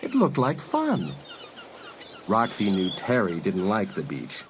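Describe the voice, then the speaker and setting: A man narrates a dramatised story in a fairly low voice. His delivery is expressive and animated, with faint ambient noise underneath. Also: slow. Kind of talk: dramatised audiobook narration, scripted.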